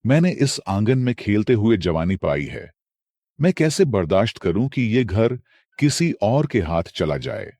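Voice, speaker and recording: A man's voice: lowish voice, sing-song, unhurried, dark tone, no room sound, heavily acted. A man voicing a loyal, earnest younger son in a moral story, speaking with heartfelt feeling. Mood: pleading. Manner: pleading, earnest.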